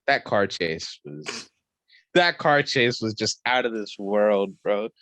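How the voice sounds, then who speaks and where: A man co-hosting a podcast in casual banter, slangy and excitable, repeating phrases for emphasis. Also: lowish voice, takes it slowly. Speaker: podcast co-host. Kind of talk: casual banter reviewing a movie.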